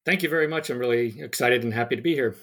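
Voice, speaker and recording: A man's voice: mid-range voice, fast, fairly bright tone. Hesitant middle-aged American man. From United States (New Jersey). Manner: hesitant, many fillers and restarts. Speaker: history professor, podcast guest. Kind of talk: interview answers.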